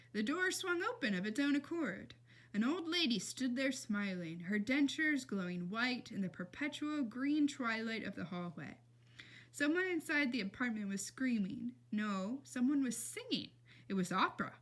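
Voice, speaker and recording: A woman's voice: fairly high pitch, very animated, normal speed, a bit bright. A woman reading a storybook aloud expressively, with dramatic emphasis and casual asides. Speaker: read-aloud reader.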